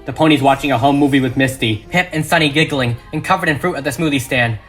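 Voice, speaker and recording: A man's voice: medium pitch, fast, a bit bright. A man reading a script as dramatic narration, with emphatic, suspenseful delivery. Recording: music behind the voice.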